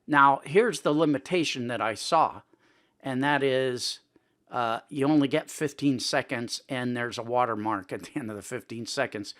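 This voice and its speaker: A man's voice: medium pitch, normal speed, a bit bright. A man presenting a casual tutorial in a conversational way, thinking aloud as he goes. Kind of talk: casual screen-recording tutorial talk.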